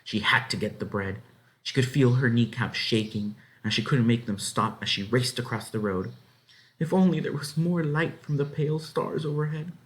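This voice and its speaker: A man reading a children's novel aloud as narrator, in an expressive, dramatic way. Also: mid-range voice, normal speed. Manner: dramatic, animated. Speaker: story reader.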